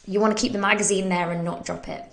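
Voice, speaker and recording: An English woman coaching a live fitness Q&A in a relaxed, chatty way, breaking into laughs as she explains push-up technique. Her voice is slightly bunged up from hay fever. She talks warmly and informally, as if to friends following along at home. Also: mid-range voice, fast, creaky. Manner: chatty and upbeat, laughs often. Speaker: fitness coach and podcast host. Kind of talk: casual live Q&A, talking through exercise technique.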